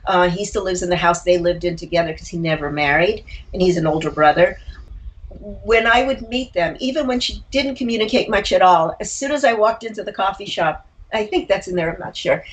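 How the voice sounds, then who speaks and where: Older lady being interviewed, mid pitch, talks candidly about her life, kinda halting with little side comments. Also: low-quality recording. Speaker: interview guest, writer and actor. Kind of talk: reflective personal interview.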